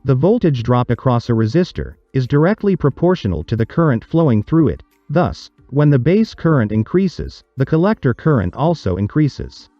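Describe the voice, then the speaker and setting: Mellow-voiced man narrating a tutorial. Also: mid-range voice, dry recording, muffled recording. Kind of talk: scripted explanatory narration.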